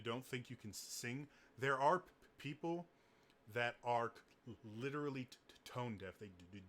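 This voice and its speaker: A man with a naturally deep voice giving casual singing tips, stuttering often on the first sounds of words. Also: fairly bright tone, slight background noise. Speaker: singing coach. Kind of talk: casual advice talk to camera.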